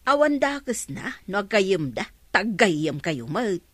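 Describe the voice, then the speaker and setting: A woman's voice: mid-range voice, big pitch swings, takes it slowly, fairly bright tone, slightly rough, no room sound, full character voice. A woman playing a teasing, meddling older woman in an Ilocano radio drama, with an Ilocano accent.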